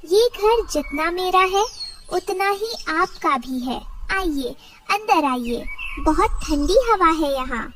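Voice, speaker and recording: Woman as a high-voiced, gentle mother sparrow. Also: animated, slow pace, distant mic, background noise, heavily acted. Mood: forgiving, consoling. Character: kind, hardworking mother sparrow, gentle and humble.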